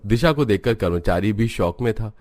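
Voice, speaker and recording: A man's voice: lowish voice, expressive, normal speed, darkish tone, no echo. A man narrating a scripted cartoon village story as its storyteller.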